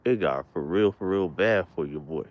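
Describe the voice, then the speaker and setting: A young man with a very deep, low voice talks in an unhurried, rambling way, cursing freely as he tells stories. He keeps his volume down so nobody in the house wakes, but his deep voice still carries. It sounds like a casual late-night vlog recorded at home. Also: lo-fi sound. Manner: laid-back, rambling, profane, speaking low and near a whisper at times. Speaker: vlogger.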